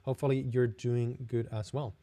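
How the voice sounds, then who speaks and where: A young man with a Finnish accent answers viewer questions on a live stream. His voice is warm and mellow at a middle pitch. He speaks in a friendly, rambling way and restarts his sentences often. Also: fairly even intonation, moderate pace. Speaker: host.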